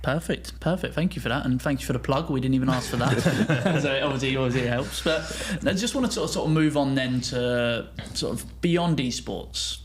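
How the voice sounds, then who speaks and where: A man's voice: mid-range voice, fairly quick, few pauses, slight background noise. A man hosting a podcast, asking long, rambling interview questions full of "sort of". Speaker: podcast host.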